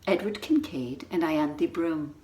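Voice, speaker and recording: A woman's voice: sits low, slow pace, mellow. A woman playing a gracious, discreet vicar's wife in a dramatized novel reading. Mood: gracious, informative.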